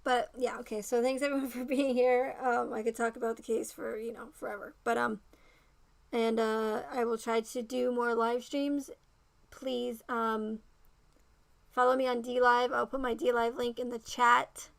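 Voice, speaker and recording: A woman hosting a casual livestream, talking straight to her viewers by name and repeating herself, her voice going raspy. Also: a little high, level tone, normal speed, no room sound. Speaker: livestream host.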